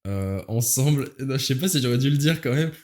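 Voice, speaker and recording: A man's voice: mid-range voice, expressive, a little fast, darkish tone. A nineteen-year-old man, chatting casually as an interview guest in youthful slang, searching for his words. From Paris, France. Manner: informal, youthful slang, hesitant searching phrasing. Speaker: interview guest, musical theatre performer.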